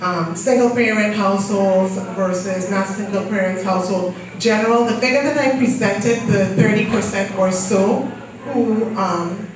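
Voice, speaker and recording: A woman's voice: mid-range voice, moderate pace, a crowd in the background. Woman explaining her study, chatty, far from the mic in a big echoey hall with an audience. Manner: conversational, explanatory. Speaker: graduate student presenter and moderator. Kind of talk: research presentation and moderating. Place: lecture hall.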